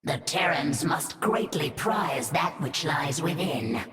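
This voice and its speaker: Game creature role: reverent alien matriarch, unhurried archaic delivery, distant echoing sound. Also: female voice, deep voice, animated, a bit bright, faint music behind, heavily acted. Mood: solemn. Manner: archaic, stately. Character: solemn, reverent female alien broodmother creature. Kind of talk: video game cutscene acting.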